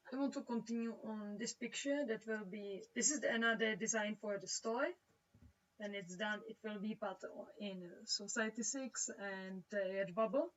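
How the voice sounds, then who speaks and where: A young woman from the Czech Republic, a native Czech speaker with a Czech accent, chats casually on a drawing livestream and haltingly searches for words. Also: medium pitch, fairly bright tone. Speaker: livestreaming comic artist. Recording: dull, distant-sounding home microphone.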